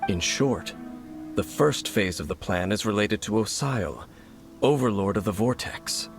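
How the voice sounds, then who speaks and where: An actor playing a grave male scholar in a video game, speaking in a calm, measured, explanatory way. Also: fairly low pitch, creaky. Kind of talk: game voice acting. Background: game music.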